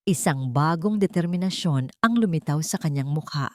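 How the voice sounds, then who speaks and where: A woman narrating a scripted story in a theatrical, suspenseful way with deliberate pauses. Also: low voice, animated, darkish tone, dry recording, clean studio sound. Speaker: audiobook narrator.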